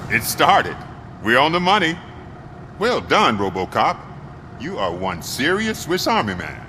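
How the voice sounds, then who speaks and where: A man's voice: medium pitch, huge pitch swings, a little slow, background noise. A man playing a wisecracking, talkative car mechanic in a video game, casual and wry. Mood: pleased, upbeat.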